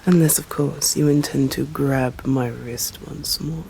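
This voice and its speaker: A woman with an English accent voicing a stern, proud female vampire in an ASMR roleplay, speaking formally and deliberately and often slipping into whispers. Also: extremely low pitch, fairly even intonation, creaky, mellow, a little hiss or hum, exaggerated voice, rough recording. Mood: parting, intrigued. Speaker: voice actor. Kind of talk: ASMR roleplay, scripted dramatic monologue.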